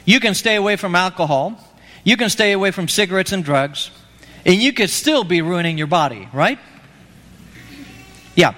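A man's voice: sits high, animated, normal speed, pauses often, sharp tone. A man from Argentina preaching an evangelistic sermon, emphatic and pleading, repeating his key phrases. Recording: long reverb of a hall.

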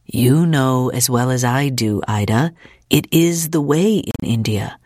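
A woman voicing a calm, resigned middle-aged father, measured and soothing, in an audiobook. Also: extremely low pitch, no echo, clean studio sound. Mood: weary. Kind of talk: audiobook character acting.

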